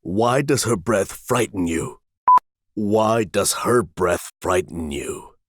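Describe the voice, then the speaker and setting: A man with a fairly low voice tries out lines as an amateur voice actor. He speaks slowly and deadpan, in a very dry recording. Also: no echo. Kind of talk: script takes and casual joking asides.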